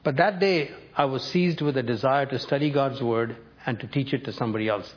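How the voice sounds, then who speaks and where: A man in his seventies, born and raised in North India, preaching a sermon by telling his own life story in a measured, storytelling way. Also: mid-range voice, dull audio. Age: 70s. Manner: personal storytelling, measured with emphatic lifts.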